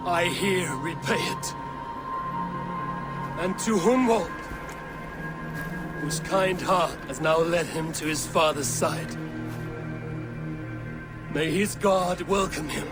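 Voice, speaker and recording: A male actor playing a stoic, battle-weary Viking warrior leader in a video game, speaking gravely and solemnly. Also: on the high side, takes it slowly, long pauses, over music. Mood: solemn oratory. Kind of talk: dramatic video game acting.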